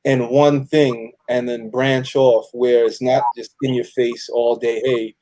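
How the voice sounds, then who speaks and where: A man from New York speaking as a cast member in a casual interview, halting and slangy. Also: medium pitch, level tone, mellow, rough recording. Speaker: audio drama cast member being interviewed.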